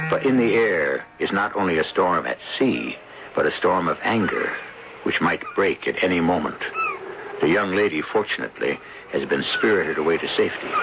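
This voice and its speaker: Deep, gravelly man hosting a radio mystery. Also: noisy background. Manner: dramatic, ominous, deliberate. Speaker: host and narrator. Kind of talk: 1970s radio mystery scripted narration. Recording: dull radio broadcast with loud music. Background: loud music.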